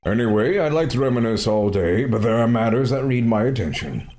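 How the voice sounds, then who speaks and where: A man's voice: mid-range voice, animated, a little fast, a little mellow, slight background noise, lightly acted. A man voicing a grandiose older male police chief who drawls his lines theatrically. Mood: pompous.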